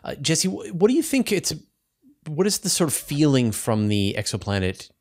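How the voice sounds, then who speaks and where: A man hosting a conversational science panel, feeling his way through questions in a halting manner. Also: a little high, very animated, a little mellow. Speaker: host and science communicator interviewing scientists.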